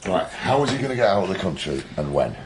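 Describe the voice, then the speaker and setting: An actor playing a blunt, sweary, gruff middle-aged detective in a naturalistic radio drama, with a gravelly voice. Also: male voice, low voice, big pitch swings, fast, slight background noise, rough recording. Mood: brooding, determined.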